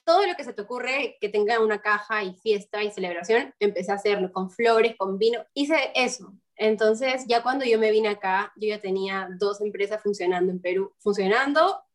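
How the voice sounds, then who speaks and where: Lively young Peruvian woman telling a story. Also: mid-range voice, normal speed, few pauses. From Peru. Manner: animated, storytelling with quoted voices. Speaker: interviewee. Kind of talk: casual interview chat.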